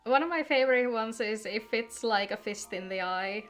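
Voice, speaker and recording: A woman's voice: a little high, moderate pace, a bit bright. A woman, chatting casually with viewers as she livestreams a game. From Finland. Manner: chatty, greets viewers by name. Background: in-game music.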